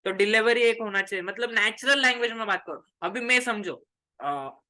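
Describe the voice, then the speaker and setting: Bright, forceful Indian male tutor. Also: high-pitched, moderate pace. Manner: chatty, colloquial, addresses students directly. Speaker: commerce tutor. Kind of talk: informal lecture mixing Hindi and English.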